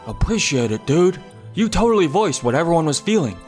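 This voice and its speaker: A friendly young male colleague, acted by a man in a manga dub over music. He sounds casual and gossipy, fairly high and animated. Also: fairly quick. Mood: chatty, confiding. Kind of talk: English manga dub acting.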